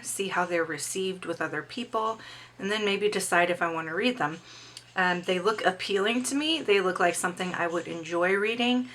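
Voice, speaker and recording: A middle-aged woman with a slightly hoarse voice, chatting casually about upcoming books and reading their blurbs aloud. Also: lowish voice, bright, forceful tone. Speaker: book vlogger.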